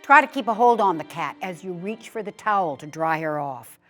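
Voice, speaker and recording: A woman actor giving an expressive dramatic reading of a humorous instructional essay, like a storyteller. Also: on the low side, a little fast, soft background music. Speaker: actor reading a written piece aloud.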